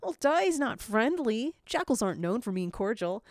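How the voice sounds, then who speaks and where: A woman's voice: a little high, moderate pace, no echo, studio quality, pitched above the performer's own voice. A woman voicing an eager, starstruck young male rookie cadet in a gushing fan fiction audio drama. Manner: gushing, excitable.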